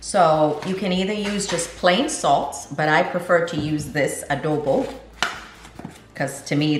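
A woman in her forties hosts a casual home cooking video and chats cheerfully to the camera as she works. Her voice sits a bit low and carries the echo of a kitchen, picked up by a camera a few feet away. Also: noisy background. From Nigerian, Jamaican and American. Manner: chatty and upbeat, laughing often. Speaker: cooking vlogger. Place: home kitchen indoors, garden outdoors at first.

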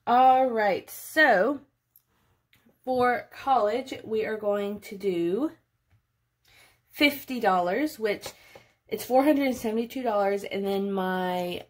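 A woman's voice: medium pitch, slow pace, pauses often. Chatty woman in her late twenties. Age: late 20s. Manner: chatty, thinking aloud. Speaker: budgeting vlogger. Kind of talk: casual narrated budget walkthrough.

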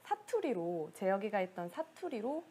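A woman's voice: medium pitch, sing-song, moderate pace, breathy edge. A young woman hosting a casual livestream interview, asking questions and passing along viewer comments in a small indoor room. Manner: bright, chatty, reads viewer comments. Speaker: interviewer host.

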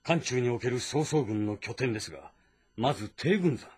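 Husky, formal male military strategist. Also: mid-range voice, expressive, a little mellow. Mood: composed, assured. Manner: formal, measured briefing. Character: male military strategist, composed, respectful, methodical. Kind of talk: dramatic game voice acting.

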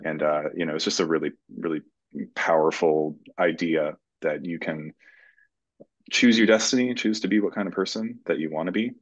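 A man's voice: low voice, fairly even intonation, moderate pace. A man in his thirties giving thoughtful, conversational explanations as a screenwriter in a video-call interview. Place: indoor room at home, video call. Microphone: video call microphone. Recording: dull lo-fi video-call audio.

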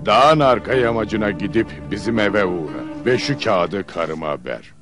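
A man playing a sly man-eating giant father who feigns friendliness in an old cartoon, with theatrical, drawn-out folksy delivery. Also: on the low side, lively intonation, over music, natural voice. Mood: generous, coaxing. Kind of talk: old cartoon fairy-tale dubbing.

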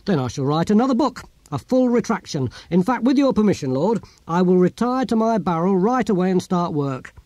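A man's voice: high-pitched, big pitch swings, a little fast, few pauses, warm tone, no room sound, voice pushed up. A man voicing a blunt, wry old philosopher in an audiobook story, declaiming theatrically with dry humour. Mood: mock submissive.

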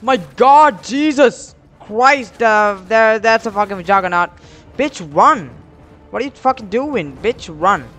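A young man giving casual gameplay commentary in an excitable, slangy, profane way, speaking into a headset. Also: high-pitched, slow pace, faint music behind. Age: young adult. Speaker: gamer, YouTube host. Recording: clear game gunfire noise behind voice. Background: shooter game gunfire and clicks.

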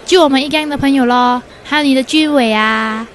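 A woman's voice: high voice, fairly quick, slight background noise, close to an everyday voice. A young woman with a Malaysian Chinese accent playing a teasing, exclamatory university student and best friend in a radio drama. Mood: chatty, teasing. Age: young adult. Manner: exclamatory, colloquial, mock-complaining.

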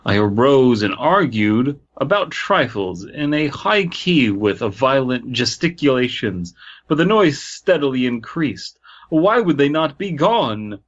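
A man with a mid-pitched voice hosts a comedy podcast, reading a story aloud and adding joking commentary. The sound is slightly dull and lo-fi. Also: big pitch swings, unhurried. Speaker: podcast host.

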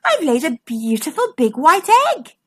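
A woman's voice: sits high, very animated, normal speed, a bit bright, dry recording, clean studio sound. A woman voicing a sleepy, surprised female Stegosaurus in a children's storybook reading.

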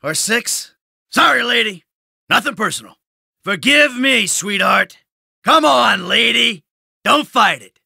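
A cynical middle-aged American gangster from a video game, sardonic and easily irritated. The voice is sharp and gritty with a creaky edge, recorded very dry. He delivers short, blunt tough-guy lines without hurry. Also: extremely high pitch, animated, takes it slowly, dry recording, full character voice. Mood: dry, menacing.

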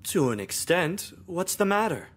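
An actor playing a smarmy, predatory school counselor in a visual novel, smooth-talking, insinuating and condescending. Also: male voice, sits high, big pitch swings, unhurried, exaggerated voice. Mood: smug, patronizing. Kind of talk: visual novel game voice acting.

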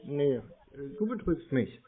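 A man on a phone call, his voice sitting a little high. He talks slowly and naively at first, then becomes blunt and sarcastic. The sound is narrow and phone-like. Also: big pitch swings. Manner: plays a slow, naive caller, then turns blunt and mocking. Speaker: scam baiter. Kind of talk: recorded prank phone call. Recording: tinny phone line.

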